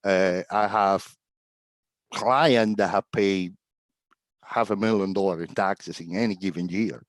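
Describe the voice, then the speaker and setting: A middle-aged man from Cuba, a tax agent talking as a guest on a video call in a relaxed, rambling way with fillers and chuckles. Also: low voice, measured pace, no room sound. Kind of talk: casual video-call interview.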